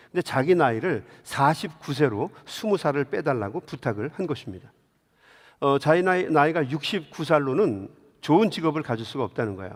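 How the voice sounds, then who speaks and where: A man preaching a sermon as a pastor, telling stories and putting rhetorical questions directly to his congregation in a church. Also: medium pitch. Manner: engages listeners with direct questions. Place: large indoor church sanctuary. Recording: long hall reverb of a church.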